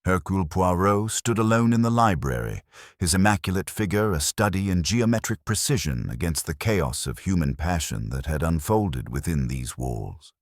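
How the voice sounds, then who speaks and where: A man reading a scripted mystery story as narrator, in a measured, even-paced way. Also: low-pitched, dry recording, studio quality.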